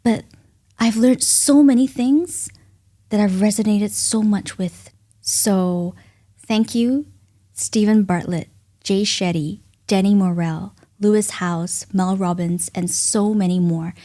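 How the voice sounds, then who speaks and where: A woman with a Singaporean accent hosts a solo podcast in a studio, speaking earnestly and reflectively in deliberate phrases with pauses. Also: mid-range voice, dark tone. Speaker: podcast host.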